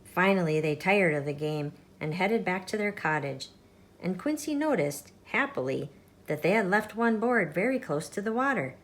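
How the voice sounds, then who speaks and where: Lower-voiced woman reading a children's story. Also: animated, normal speed. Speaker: storytime channel reader. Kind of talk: expressive children's picture book read-aloud.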